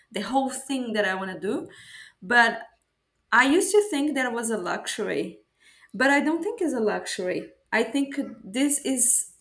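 Earnest woman host, Portuguese native speaker. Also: mid-range voice. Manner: reflective, earnest, many fillers and restarts. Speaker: podcast host. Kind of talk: casual conversational interview.

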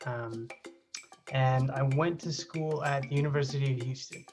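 A man in his thirties from Texas introduces himself briskly in a casual interview. His voice sits in a middle register, and music plays in the background. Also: mid-range voice, steady tone. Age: 30s. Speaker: interviewee, videographer.

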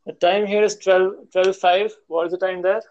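A young man co-hosting a casual podcast over a call, halting and repeating his words. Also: high voice, level tone, a little mellow, highs cut off. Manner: hesitant, repeats words and restarts often. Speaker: podcast co-host. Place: at home in India. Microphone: call audio.

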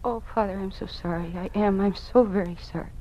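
An actress playing an earnest, troubled young schoolteacher in an old-time radio drama, with careful, precise diction. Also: female voice, medium pitch, steady tone, fairly quick, dark tone, a little hiss or hum, lightly acted. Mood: sorrowful. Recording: muffled old radio recording.

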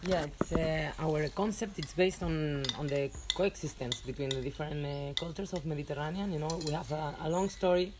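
A woman's voice: deep voice, a little fast, hardly any pauses. Woman being interviewed outside, earnest, feels for words, noticeable noise and clicks. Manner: earnest, searching for words, tags phrases with "no?". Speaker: band singer being interviewed. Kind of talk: casual interview. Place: outdoors under a tree. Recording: clear background noise, clicks, outdoor sounds.

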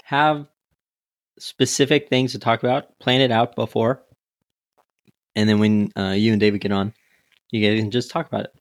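A man's voice: mid-range voice, steady tone, normal speed, long pauses, no room sound, studio quality. A teenage man chatting as a podcast guest among friends, blunt and offhand. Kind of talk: casual chat among friends.

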